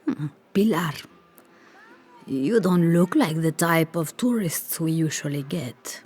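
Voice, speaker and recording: Slow, low-voiced kindly older village woman. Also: animated, darkish tone, breathy edge, heavily acted. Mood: welcoming. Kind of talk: video game cutscene acting.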